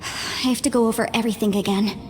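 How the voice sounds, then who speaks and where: A woman playing an earnest, thoughtful young companion in a video game, with a careful and gentle delivery. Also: fairly high pitch, fairly even intonation, quick pace, natural voice. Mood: thoughtful, reassuring. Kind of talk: dramatic video game voice acting. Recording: long reverberant hall sound. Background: clear background music.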